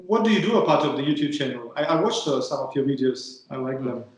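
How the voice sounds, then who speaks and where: A man's voice: mid-range voice, lively intonation, quick pace. Russian-accented man in an echoey room. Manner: hesitant, repeats words while searching for phrasing. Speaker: podcast host. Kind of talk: interviewing a guest over a call.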